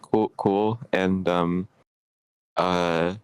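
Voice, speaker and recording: Man, fairly low pitch, rambling, stammering, casual slangy feedback, dry sound. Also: steady tone, extremely slow, smooth, no echo. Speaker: participant giving script feedback. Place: online meeting.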